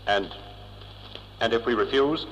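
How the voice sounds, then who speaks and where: An actor playing an earnest captive space explorer in a vintage science-fiction radio drama, dramatic and questioning. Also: male voice, medium pitch, unhurried, lightly acted. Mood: apprehensive. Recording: muffled, hissy old tape, long reverb.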